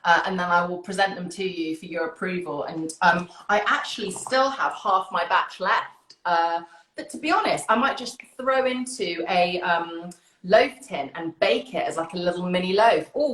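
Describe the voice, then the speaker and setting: A woman's voice: mid-range voice, a bit bright. A British woman hosting a live online session, chatting casually and enthusiastically to viewers. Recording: roomy, echoing sound.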